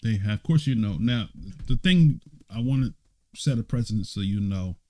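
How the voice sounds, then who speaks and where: A man's voice: lowish voice, expressive, normal speed, mellow. A man serving as an elder, teaching an informal Bible study. He reads scripture aloud, explains it in a conversational way, and keeps checking in with "right?" and "okay?". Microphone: streamed online talk.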